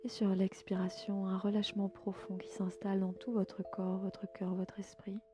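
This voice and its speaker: Female relaxation guide, medium pitch, gentle inviting delivery with soft endings, music clearly underneath. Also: monotone, moderate pace. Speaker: host and yoga nidra guide. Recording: music bed behind the voice.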